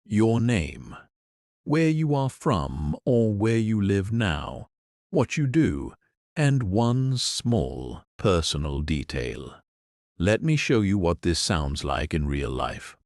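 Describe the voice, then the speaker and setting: A man with a very low voice teaches an English lesson. His pitch rises and falls freely as he explains each point patiently and clearly, and the sound is close and dry with no room echo. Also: sing-song, takes it slowly, darkish tone. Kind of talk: scripted lesson to the camera. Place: in Europe.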